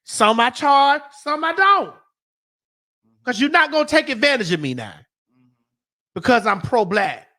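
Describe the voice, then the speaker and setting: A talk-show host ranting in heated, profane, emphatic casual talk. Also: female voice, high-pitched, sing-song, a little slow, long pauses, pushed and bright.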